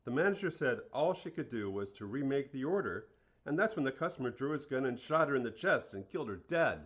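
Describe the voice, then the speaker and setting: An actor playing an earnest male fast-food store manager being interviewed in a radio play, plain-spoken and matter-of-fact. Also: medium pitch, animated, husky.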